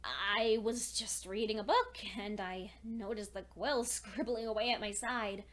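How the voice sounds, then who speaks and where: Horror story lead, played by a woman: scared, isolated young mare, high voice, unhurried halting delivery, anxious and intense. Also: natural voice. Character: frightened, lonely young female pony keeping a journal. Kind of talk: dramatic first-person horror fan fiction reading.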